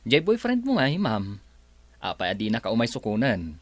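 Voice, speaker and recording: A man's voice: mid-range voice, huge pitch swings, a little fast, dry recording. An actor with an Ilocano accent playing a confident, polite young handyman in a radio drama. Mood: coaxing. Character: Ronnie, confident, respectful yet forward young handyman. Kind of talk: Filipino radio drama acting.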